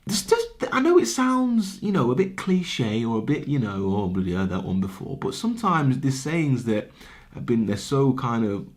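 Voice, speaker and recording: A man's voice: mid-range voice, sing-song, moderate pace. A man in his twenties giving an earnest, impassioned rant straight to camera, often repeating words as he thinks. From Britain. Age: 20s. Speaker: vlogger. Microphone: camera mic, talking to camera.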